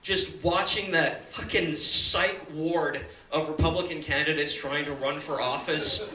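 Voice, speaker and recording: A man's voice: a little high, fairly even intonation, sharp tone. Young man with doing stand-up. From Iceland, raised in the United States. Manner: chatty, quick asides, swearing. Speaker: stand-up comedian. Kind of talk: stand-up comedy set. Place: comedy bar. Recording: echoey club from far off, audience.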